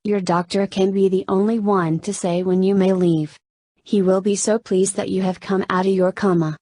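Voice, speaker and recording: Storybook nurse, played by a female reader: brisk, folksy, cautioning, slight Southern accent, mid pitch. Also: steady tone, dark tone, no echo, clean studio sound, lightly acted. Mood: brisk, curious. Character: motherly, brisk hospital nurse woman, secretive and cautioning.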